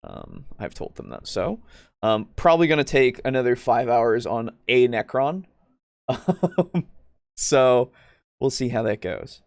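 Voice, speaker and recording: A man hosting a casual tabletop gaming livestream, talking in a halting way with jokey, self-deprecating asides. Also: medium pitch, huge pitch swings, vocal fry, darkish tone, no echo. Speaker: host of a tabletop roleplaying livestream.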